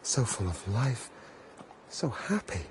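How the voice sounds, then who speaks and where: An actor playing a reserved, melancholy Russian prince in a radio drama, formal and introspective. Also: male voice, mid-range voice, huge pitch swings, slow pace, very noisy. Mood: wistful, brooding.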